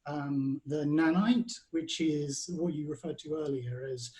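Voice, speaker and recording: A man's voice: fairly high pitch, a little slow, a little mellow. A man hosting a podcast, asking questions in a rambling way and stumbling over his phrasing. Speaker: podcast host.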